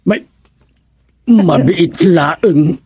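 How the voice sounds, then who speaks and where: An actor with an Ilocano accent playing a flustered, lovestruck comic village suitor in a radio comedy, stammering and exclaiming. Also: male voice, on the high side, very slow, darkish tone, slightly rough, no room sound, clean studio sound, full character voice.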